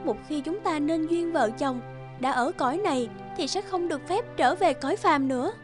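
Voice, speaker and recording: A woman voicing a devoted young fairy wife in a cartoon, speaking softly in a formal, archaic way. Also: high voice, normal speed, over music, close to an everyday voice. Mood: solemn. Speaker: voice actor. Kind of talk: cartoon dubbing.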